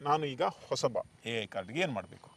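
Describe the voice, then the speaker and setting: A man's voice: medium pitch, expressive, normal speed, gravelly, husky. A man hosting an interview with a coastal Karnataka accent, putting questions and summing up points for viewers. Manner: repeats and sums up answers. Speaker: talk show host.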